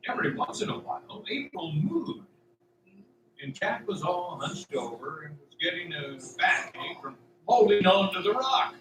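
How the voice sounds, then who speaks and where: High, bright, animated male storyteller. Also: normal speed, slight background noise. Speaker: narrator. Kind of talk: scripted storytelling narration for audio drama. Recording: roomy, distant sound.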